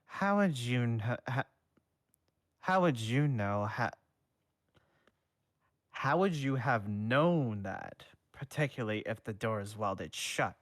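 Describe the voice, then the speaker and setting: A man voicing a calm, formal adult male schoolteacher who speaks in a measured, matter-of-fact way. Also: mid-range voice, dry recording, clean studio sound. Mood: dry.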